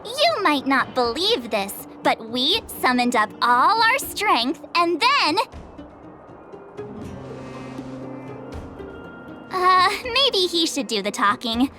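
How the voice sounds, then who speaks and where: A very high-pitched, swooping young adventurer girl, bubbly and impulsive. She chatters informally and dramatically, like an anime game heroine. Loud game music plays behind her. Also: sing-song, slow pace, hardly any pauses, heavily acted. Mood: bubbly, casual.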